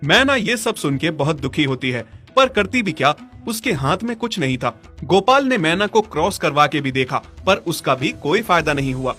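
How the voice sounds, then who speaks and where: A man's voice: a little high, expressive, normal speed, hardly any pauses, bright, forceful tone. A man narrating a scripted story as its storyteller. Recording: music behind the voice.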